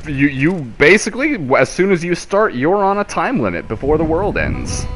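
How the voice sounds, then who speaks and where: A man co-hosting a casual video game chat, explaining things in a halting, rambling, self-correcting way. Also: on the high side, big pitch swings, a bit bright, faint music behind. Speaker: podcast co-host.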